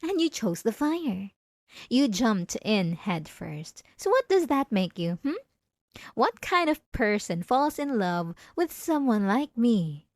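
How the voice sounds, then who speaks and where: A woman playing a high-voiced, obsessive yandere wife. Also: big pitch swings, darkish tone, no room sound, clean studio sound, heavily acted. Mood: dark, intimate. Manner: intimate, theatrical, drawn-out emphatic phrases. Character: possessive, obsessive yandere wife confronting her spouse. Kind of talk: ASMR roleplay, dramatic monologue.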